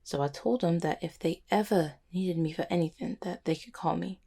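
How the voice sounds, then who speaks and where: A woman plays an anxious, guilt-ridden cupid with a low-set, gravelly voice. The delivery is slangy and halting, full of swearing and raw feeling, in an intimate audio drama style. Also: warm tone. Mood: uneasy, subdued. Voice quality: husky, gravelly. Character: anxious, guilt-ridden cupid who helps clients, streetwise. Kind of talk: intimate audio roleplay acting.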